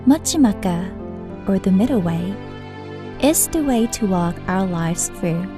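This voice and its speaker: A woman narrates a scripted introduction in a calm, measured, instructive manner. She takes her time over every phrase, speaking slowly. New-age music plays clearly beneath her voice. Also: medium pitch.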